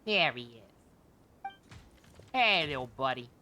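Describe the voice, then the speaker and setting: Low-voiced irreverent woman streaming a game. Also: big pitch swings, slow pace, noisy background. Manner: irreverent, sweary, joking. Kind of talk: casual live gameplay commentary.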